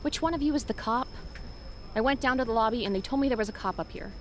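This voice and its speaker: A woman's voice: on the high side, quick pace, slight background noise, natural voice. An actress in an audio drama playing a blunt, insistent young woman who speaks in a clipped way. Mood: blunt, demanding.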